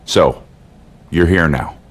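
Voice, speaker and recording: An actor playing a brooding, curt pro wrestler in a video game, talking with cold, deadpan menace. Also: male voice, low-pitched, husky, exaggerated voice. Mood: cold, menacing. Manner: slow, terse, coldly deadpan. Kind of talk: video game cutscene acting.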